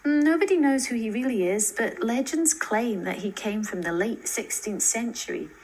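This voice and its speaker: A woman's voice: on the high side, lively intonation, normal speed, a little mellow, slight background noise, voice pushed up, close to an everyday voice, rough recording. A woman voicing a cautious, knowing teenage girl in a storybook reading. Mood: explanatory.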